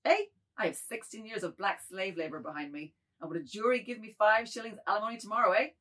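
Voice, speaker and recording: A woman's voice: mid-range voice, quick pace, a bit bright, lightly acted. A woman playing a wordy, self-abasing middle-aged man in a theatrical novel reading. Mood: defiant, mocking. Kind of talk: dramatized reading of a modernist novel.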